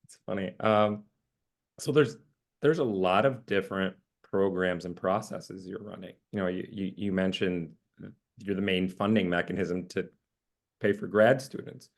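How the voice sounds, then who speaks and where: A man hosting a webinar interview with a husky voice today, joking loosely and filling pauses with "uh". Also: deep voice, steady tone. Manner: jokey, loose, filler-heavy. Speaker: interview host.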